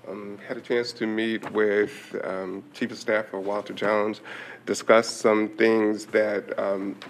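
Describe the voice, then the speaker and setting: A man on a city council, giving measured remarks with hesitant fillers. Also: fairly low pitch, slight background noise. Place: council chamber.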